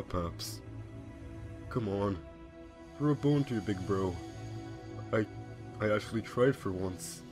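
A man's voice: fairly low pitch, natural voice. A man voicing a weary, wisecracking skeleton with a sardonic, menacing manner in a dramatic comic dub.

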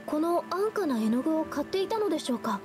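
Anime game role: a shy, courteous young female artist, high girlish tone, gentle careful delivery, music underneath. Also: very animated. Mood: thoughtful, explaining. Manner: polite, measured, hesitant. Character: polite, timid young woman artist playing detective. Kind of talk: Japanese anime game voice acting. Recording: music behind the voice.

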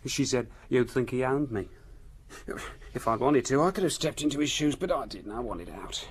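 Womanising salesman, radio drama, medium pitch, nervous, cheeky, hesitant, matey. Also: lively intonation, moderate pace, husky.

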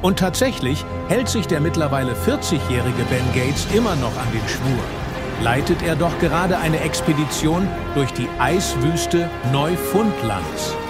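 A man reading scripted narration for an audio drama. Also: a little high.

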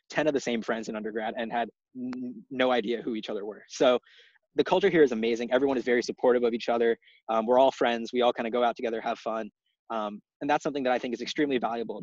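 Fast-talking eager young man. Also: mid-range voice, a little mellow, no echo. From Ohio. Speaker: medical student. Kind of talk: casual video call presentation. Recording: dull, lo-fi video call audio.

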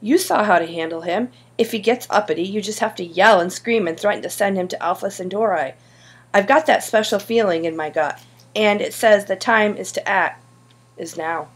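Woman playing an earnest, husky scheming schoolboy. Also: mid-range voice, normal speed, lightly acted. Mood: confident. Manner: insistent, pleading. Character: earnest, scheming schoolboy ringleader, stubbornly persuasive. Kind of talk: audiobook character dialogue.

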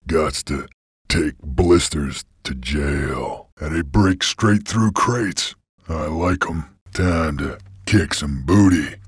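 An actor playing a gruff, laconic bounty hunter creature in a video game, with a gravelly Western American cowboy drawl. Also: male voice, very deep voice, slow, no echo, clean studio sound, full character voice. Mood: gruff, musing. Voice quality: gravelly, raspy.

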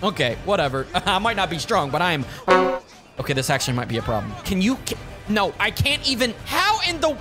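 Young male gaming host, hyper and goofy, big pitch swings, music bed under voice. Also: sing-song, fairly quick. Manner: hyper, joking, voice swings wildly. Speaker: gaming YouTuber. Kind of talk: energetic gameplay commentary. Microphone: headset with studio mic.

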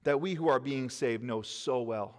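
A man's voice: mid-range voice, normal speed. Preaching man in a reverberant hall. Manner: earnest, gesturing preacher who quotes scripture. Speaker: pastor. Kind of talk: sermon. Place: church stage behind a lectern, indoors. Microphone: headset mic.

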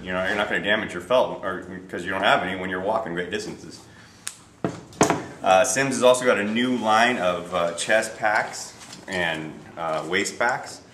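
Deep-voiced American man, echoey shop recording. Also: fairly bright tone. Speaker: fly shop presenter. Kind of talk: informal product showcase, unscripted. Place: small retail shop, indoors. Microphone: camera a few feet away. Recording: echoey shop, distant camera mic, faint noise.

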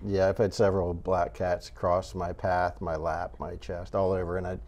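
An older man speaking as an interview guest from a cat rescue, choosing his words in a measured, halting way. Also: low-pitched, level tone, darkish tone. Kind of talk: unscripted interview talk. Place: indoor TV studio set. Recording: clear background noise.

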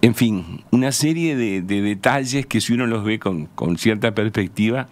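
A man in his sixties with a Uruguayan accent, reminiscing in a measured, hesitant way as a guest in a radio interview. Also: lowish voice, expressive. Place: small radio studio. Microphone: close studio microphone on a stand.

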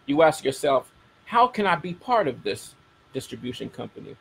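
A man's voice: mid-range voice, big pitch swings, moderate pace, gravelly, a little hiss or hum, rough recording. A middle-aged American man hosting a show, talking casually to his audience and repeating key phrases for emphasis. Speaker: radio show host. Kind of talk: casual talk to a broadcast audience.

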